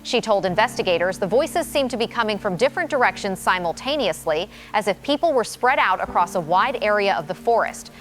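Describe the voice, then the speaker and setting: A woman's voice: medium pitch, expressive, a little fast, few pauses, fairly bright tone, faint music behind, natural voice. Woman playing a grave radio field reporter. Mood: grave, composed. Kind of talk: scripted radio news report.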